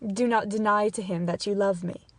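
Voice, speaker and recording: A woman playing a courtly, confident young nobleman in a measured, formal Shakespearean dramatic reading. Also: mid-range voice, fairly even intonation, dry recording, close to an everyday voice. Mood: courtly, assured.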